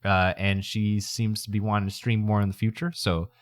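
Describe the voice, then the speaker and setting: A man's voice: fairly low pitch, flat delivery, moderate pace, no echo. A man chatting casually on a livestream in a put-on accent, with a folksy, playful manner. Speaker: streamer, VTuber.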